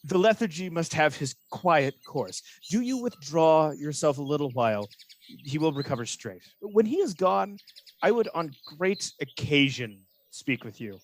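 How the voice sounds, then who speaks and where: A man's voice: on the high side, lively intonation. A man acting a manipulative villain in a Shakespearean radio play, insinuating and theatrical, posing as a loyal officer. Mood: feigned concern. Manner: insinuating, measured, theatrical verse delivery.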